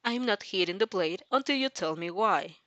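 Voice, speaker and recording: A woman's voice: mid-range voice, expressive, normal speed, fairly bright tone, no room sound, muffled recording, natural voice. A woman voicing a suspicious, teasing young male villain in a story reading, with a drawling manner.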